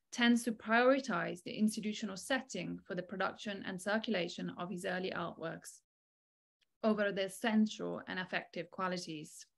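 A woman in her thirties reading a formal academic lecture in a measured, articulate way over a video call. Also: medium pitch, creaky. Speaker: academic keynote speaker. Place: small indoor room, online video call. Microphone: video call microphone.

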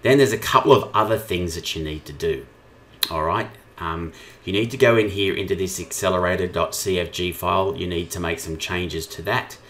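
A man with a low-pitched voice presents a software tutorial. He explains each step informally, as a trainer talking through a screen share. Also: moderate pace.

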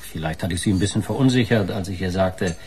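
An actor playing a pushy, wry newspaper reporter in naturalistic radio crime drama dialogue, probing and casual. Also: male voice, low voice, quick pace, a little mellow, a little hiss or hum, close to an everyday voice. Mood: wry, needling. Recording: radio play with background ambience.

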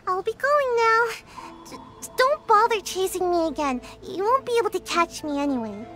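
A woman's voice: very high voice, lively intonation, measured pace, fairly bright tone, faint music behind, heavily acted. A woman voicing a small, sleepy young girl ninja in a video game, reluctant and evasive, with a drowsy, hesitant manner. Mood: weary, reluctant. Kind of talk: video game character dialogue.

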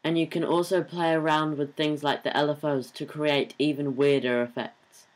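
A man co-presenting a software tutorial, giving instructional narration. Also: on the high side, little pitch movement, normal speed, slight background noise, rough recording.

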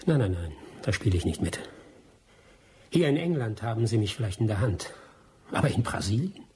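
A man's voice: fairly low pitch, sing-song, moderate pace, warm tone, slight background noise. An actor playing a cornered, bitter and fatalistic male adventurer in a radio drama. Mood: wary, defiant. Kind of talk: German radio play acting.

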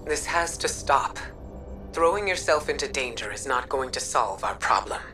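A worried, firm mentor from a video game drama. Her very low voice is hushed and measured, set a little distant under loud music. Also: animated, exaggerated voice. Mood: worried, reproachful. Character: grave, caring female mentor, worried and firm.

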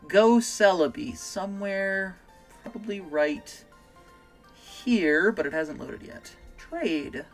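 A man streaming a game with a bass voice that still sounds bright and forceful, talking casually at a home desk mic. He gets excited and begs the game to go his way. Soft game music plays underneath. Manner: chatty, easily excitable, pleads with the game. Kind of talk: casual gameplay commentary. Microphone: desk mic with webcam.

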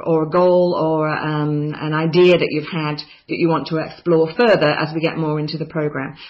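Enthusiastic woman tutoring a writing course. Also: sits low. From New Zealand. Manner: enthusiastic, chatty, with frequent ums. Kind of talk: course overview narration over slides. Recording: slightly dull lo-fi voice-over.

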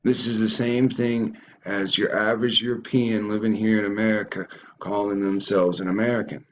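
A man's voice: fairly low pitch, level tone, moderate pace, slight background noise. A man giving a ranting reaction commentary on a video, stumbling and repeating words as he goes.

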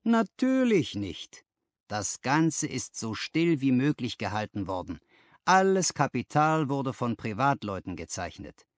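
A man voicing an energetic, flattering financial agent in an audiobook, speaking in an emphatic, self-assured way. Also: mid-range voice, measured pace, dry recording, clean studio sound. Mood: confiding, persuasive. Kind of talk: dramatized audiobook dialogue.

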